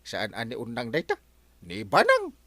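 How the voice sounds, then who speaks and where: Comic audio drama role: cheeky Ilocano husband voiced by a man, grumbly and sputtering, pitch leaping all over, close dry recording. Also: huge pitch swings, moderate pace, bright, forceful tone, no echo, full character voice. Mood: exasperated. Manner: blustering, stammering exclamations. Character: grumbling, cheeky husband who shirks chores.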